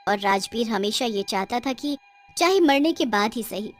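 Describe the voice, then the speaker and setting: High-pitched woman narrating a story. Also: animated, moderate pace, faint music behind. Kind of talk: dramatic scripted narration of a cartoon horror story.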